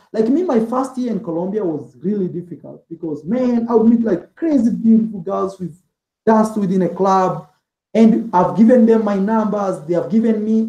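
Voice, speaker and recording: Male interview guest, casual and rambling, filler-heavy, mellow tone, echoey room. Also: high voice. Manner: rambling, heavy use of "like" and "man". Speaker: interview guest, travel vlogger.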